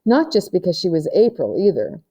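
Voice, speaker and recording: A woman's voice: lowish voice, very animated, brisk, warm tone, breathy edge. A woman narrating a children's novel as a read-aloud storyteller. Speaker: audiobook reader.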